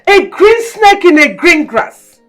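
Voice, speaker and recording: A woman's voice: very high-pitched, sing-song, slow pace, soft background music, exaggerated voice. An actress playing a scornful, scheming middle-aged queen mother-in-law, theatrical and accusatory, in a film melodrama. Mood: scornful, threatening.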